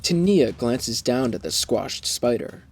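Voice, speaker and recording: A man's voice: medium pitch, very animated, slow. Male audio drama narrator over music. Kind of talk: scripted narration of an audio drama, reading stage directions.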